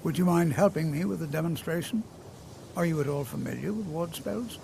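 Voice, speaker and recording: A man's voice: fairly high pitch, a little fast, creaky, dark tone, a little hiss or hum. An actor playing a kindly elderly wizard teacher in a video game, patient, cautious and courteous as he instructs. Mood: encouraging, instructive. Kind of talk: video game character dialogue.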